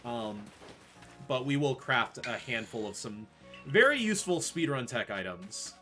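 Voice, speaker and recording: A man's voice: medium pitch, big pitch swings, measured pace, bright, forceful tone, soft background music. A man giving casual, explanatory speedrun commentary full of gamer jargon while he plays. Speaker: speedrunner playing and explaining the run.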